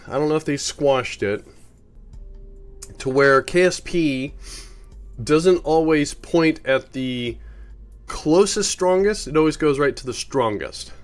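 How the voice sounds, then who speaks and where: Man with a head cold streaming a game. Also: on the high side. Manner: rambling, self-correcting, chuckles at himself. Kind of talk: casual live-stream commentary.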